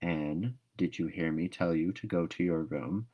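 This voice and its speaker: A man's voice: low-pitched, unhurried, highs cut off, voice pushed down. A man voicing a stern, practical older female guardian in a storybook read-aloud, dry and disapproving. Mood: stern, impatient.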